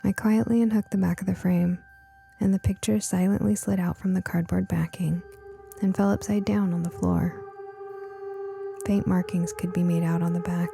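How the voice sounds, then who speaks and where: A woman narrating a first-person audiobook story in an expressive, suspenseful storytelling manner. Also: lowish voice, normal speed, creaky, over music.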